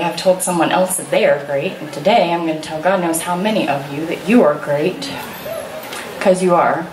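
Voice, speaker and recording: A woman in her thirties delivers a spoken word poem from a stage, her voice on the lower side. She talks to the crowd with earnest rhetorical questions, and the recording sounds distant and roomy with steady background noise. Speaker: slam poet. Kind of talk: spoken word poetry performance. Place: stage in a large hall. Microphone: stand mic on stage. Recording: echoey hall, taken from far off.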